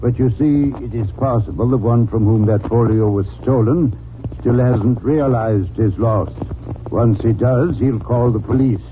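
A man's voice: mid-range voice, measured pace, natural voice. Man acting a pompous bossy middle-aged detective, clipped erudite talk, old radio show, tinny and noisy. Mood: dryly imperious. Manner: terse, erudite, commanding. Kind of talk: 1940s radio drama acting.

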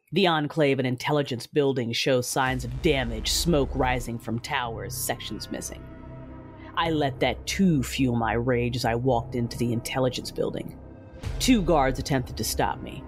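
A woman performs a grieving, vengeful Sith ruler telling her own story. She is solemn and menacing, with a cold measured delivery and music playing behind her narration. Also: extremely low pitch. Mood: cold, bitter. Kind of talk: dramatic first-person scripted narration.

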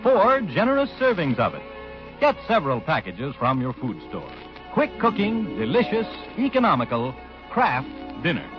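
Very animated American radio pitchman. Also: moderate pace. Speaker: radio commercial announcer. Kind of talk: 1940s scripted radio advertising. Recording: dull vintage radio recording with music. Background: music.

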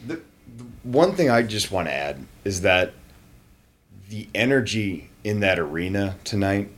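A man with a slightly low voice who mostly chimes in with brief agreement. He talks casually in a hotel room. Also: lively intonation, measured pace. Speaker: podcast co-host. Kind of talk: casual chat.

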